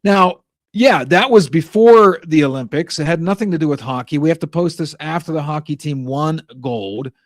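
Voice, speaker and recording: Host for a talk show: young man, slightly higher voice, rambling and exasperated, dry close sound. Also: normal speed, no echo. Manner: rambling, exasperated, frequent restarts. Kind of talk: political commentary monologue.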